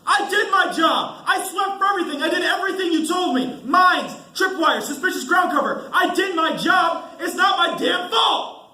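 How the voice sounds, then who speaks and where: A man acts as a young soldier in trouble on stage, weary and defiant. His voice is very bright and swings widely in pitch, in an echoey room with faint noise. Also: expressive, normal speed, some chatter behind, heavily acted. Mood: desperate, defiant. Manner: swings from low mumbling to strained shouting. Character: young army sergeant trapped, weary and defiant. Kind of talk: amateur stage acting.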